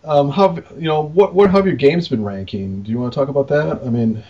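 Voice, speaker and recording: A man's voice: on the high side, fairly quick, muffled recording. An American man from Wisconsin chatting casually as a podcast co-host, informal and rambling, with some mild swearing. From Wisconsin, United States. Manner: informal, mildly profane, rambling. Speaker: podcast co-host and arcade worker. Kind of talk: casual chat.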